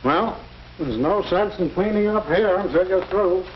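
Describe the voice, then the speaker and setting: Casting: a sardonic older school janitor, drawn-out grumbling, noisy old film recording. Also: male voice, sits high. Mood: grumbling, scornful. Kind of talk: 1940s educational film acting. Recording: muffled, noisy old 1940s film soundtrack.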